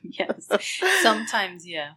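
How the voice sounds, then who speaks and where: A woman from the United States co-hosting a podcast in casual chat, teasing and often dropping to soft asides. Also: lowish voice, slow pace, breathy edge. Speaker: podcast co-host.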